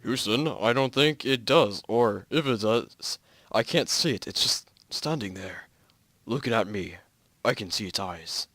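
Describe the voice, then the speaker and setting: Man playing a nervous astronaut, animated delivery. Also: on the low side, unhurried, no echo, full character voice. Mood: uneasy, bewildered. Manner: hesitant, panicky delivery. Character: nervous male American astronaut on the moon, alarmed.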